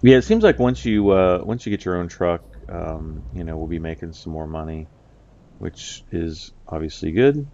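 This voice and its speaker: Deep gravelly guy with a stuffy nose rambling over a game, dull lo-fi sound, faint music behind. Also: expressive, normal speed, mellow. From United States. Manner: rambling, with many ums and uhs. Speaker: let's play host. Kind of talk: casual gameplay commentary.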